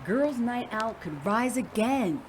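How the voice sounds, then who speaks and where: An animated wry, enthusiastic older woman in an audio drama. Her voice is fairly high and her timing slow. Faint ambience is behind her. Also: a little hiss or hum, rough recording. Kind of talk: audio drama acting, conversational.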